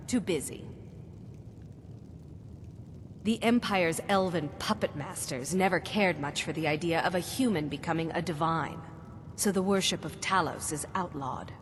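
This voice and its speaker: A solemn fantasy game priestess played by a woman, devout and matter-of-fact. Her voice sits a little low and rings in a hall, with faint rumbling noise behind it. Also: expressive, moderate pace, close to an everyday voice. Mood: matter-of-fact, indignant. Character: earnest, devout woman priest of an outlawed god. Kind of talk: fantasy video game acting.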